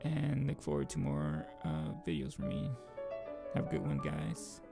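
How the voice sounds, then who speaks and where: A man reading a visual novel aloud and commenting casually as a channel host, informal and halting, with a gravelly, husky voice. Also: mid-range voice, very animated, over loud music.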